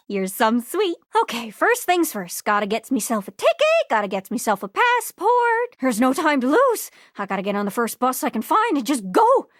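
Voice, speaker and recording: A woman voicing an excitable, scatterbrained globe character in a video game, with a folksy Newfoundland accent and a bubbly, exclamatory manner. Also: high-pitched, normal speed, no room sound, studio quality. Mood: giddy, excited. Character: excitable, scatterbrained living globe longing to travel.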